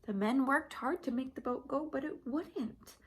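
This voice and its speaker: A woman reading a Bible story aloud to young children, in simple repetitive phrases with questions to her listeners and some hushed, whispery delivery. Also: high-pitched, unhurried, breathy edge.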